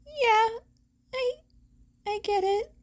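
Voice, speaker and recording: A woman's voice: very high-pitched, very slow, voice pushed up, exaggerated voice. A woman voicing a nervous, apologetic teenage boy vigilante who speaks hesitantly in an audiobook-style story. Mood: sheepish. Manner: hesitant, stammering.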